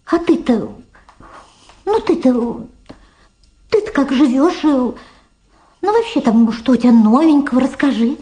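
Radio drama: vulgar, effusive middle-aged showgirl, high-voiced and very animated, rambling and repeating herself. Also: a little slow, pauses often. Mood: nosy, fussing. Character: gushing, vulgar, faded American showgirl reunited with her daughter. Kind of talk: Soviet radio drama acting.

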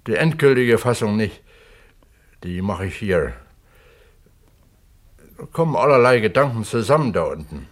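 Low-voiced, taciturn older workman. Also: big pitch swings. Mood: matter-of-fact. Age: 50s. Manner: terse, plain, unhurried. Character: taciturn, plain-spoken male mine pump attendant of fifty-eight, amateur writer. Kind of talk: 1970s German radio play dialogue.

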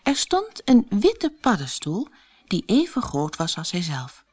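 A woman's voice: on the low side, darkish tone, breathy edge. A woman narrating a children's fairy tale as an audiobook, with dramatic, carefully phrased storytelling.

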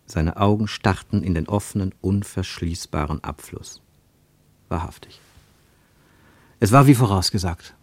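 A man narrating a literary radio drama in a deliberate storytelling manner. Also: sits low, no echo.

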